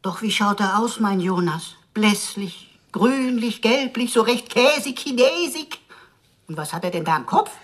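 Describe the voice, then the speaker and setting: A woman's voice: medium pitch, lively intonation, measured pace. A woman playing a chatty, cheeky robot sidekick in a radio play, talking in florid, mock-pompous wordplay. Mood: fussing, playful. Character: quirky, chatty, cheeky robot sidekick computer with flowery speech. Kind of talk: German sci-fi radio play acting.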